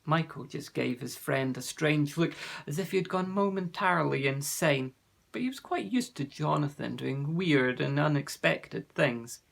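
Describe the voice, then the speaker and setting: A man narrating a children's fantasy audiobook in a gentle storytelling manner. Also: a little high, a little slow, creaky.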